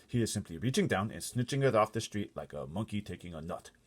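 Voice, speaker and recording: Big kindly storybook giant played by a man: husky, emphatic, folksy, with mangled grammar and a swooping delivery. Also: on the low side, expressive, quick pace, pitched above the performer's own voice. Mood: eager, explaining. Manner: muddled grammar and made-up words, emphatic. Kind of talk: storybook character voice.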